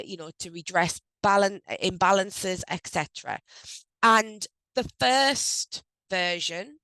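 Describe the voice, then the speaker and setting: A woman hosting an online HR briefing on a video call, speaking loosely with frequent ums and self-corrections, her voice croaky as she recovers from a cold. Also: mid-range voice, a bit bright, no room sound, low-quality recording. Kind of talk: informal presentation on a video call. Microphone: video call microphone.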